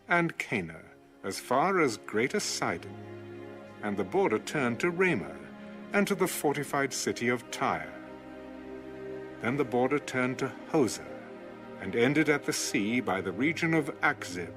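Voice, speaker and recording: Man narrating scripture with lively intonation. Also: mid-range voice. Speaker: Bible narrator.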